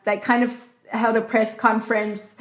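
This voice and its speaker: A woman with a South African accent, from South Africa, giving measured, informative answers as a reporter in an interview. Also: on the high side, level tone, reverberant, rough recording.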